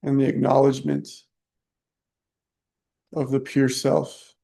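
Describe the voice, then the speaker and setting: A man leading a guided meditation, soft-spoken and unhurried, leaving long pauses between short phrases. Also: mid-range voice, monotone, vocal fry. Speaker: meditation teacher.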